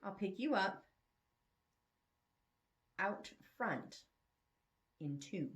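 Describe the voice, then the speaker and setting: A woman's voice: medium pitch, lively intonation, extremely slow. A woman voicing a shy, smitten young man in a romance story, hesitant and awkward.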